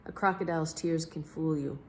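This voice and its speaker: A woman voicing a clever, unflappable male detective in a children's storybook, speaking deliberately and emphatically. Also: deep voice, steady tone, a little hiss or hum, close to an everyday voice. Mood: confident.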